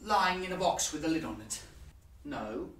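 A young man acting a morbidly musing, rambling character in a halting theatre monologue. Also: on the high side, animated, fairly bright tone. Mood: uneasy musing. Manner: halting, conversational musing with swings in volume. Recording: roomy, distant mic with noise.

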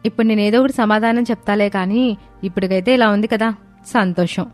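A confident young wife and mother played by a woman speaks in storybook dialogue. Her voice sits at an average female pitch, assertive and reassuring, over faint music. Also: fairly even intonation, a little fast, a little mellow, natural voice.

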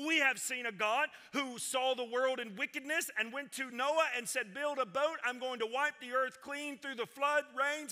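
Bright-voiced preaching man in a reverberant hall. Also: very high voice. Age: 40s. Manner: preaching with wide gestures, swinging from loud proclamation to hushed intensity. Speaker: senior pastor. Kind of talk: sermon. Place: large church stage with piano and guitars. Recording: sanctuary with long reverb.

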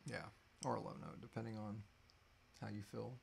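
A man's voice: fairly low pitch, fairly even intonation, moderate pace, vocal fry, a little hiss or hum. A man in his thirties co-hosting a casual live question-and-answer chat, loose and joking, swearing casually, recorded on a device in a small room. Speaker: podcast co-host answering listener questions.

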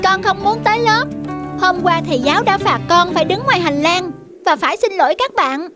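Little boy voiced by a woman, very high, childlike, eager and stubborn, cartoon with music. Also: expressive, a little fast. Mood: sulky, insistent. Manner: childlike, insistent. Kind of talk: cartoon myth dubbing. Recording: music behind.

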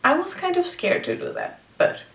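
A woman's voice: very high voice, huge pitch swings, normal speed, gravelly, fairly bright tone. A woman of twenty chatting casually to camera from a small indoor room, talking with lots of "like" and "um" fillers. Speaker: vlogger. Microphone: camera framed head and shoulders. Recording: echoey room.

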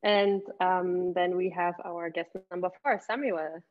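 Low-voiced woman moderating a call. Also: steady tone, phone-quality sound. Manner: friendly, halting, with fillers. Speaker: event moderator. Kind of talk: hosting a remote panel. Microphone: video call.

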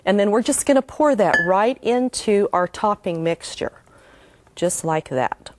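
A woman's voice: medium pitch, animated, unhurried, darkish tone, dry recording. A middle-aged woman hosting a cooking show, giving homey, enthusiastic step-by-step instructions while she cooks. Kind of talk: cooking demonstration.

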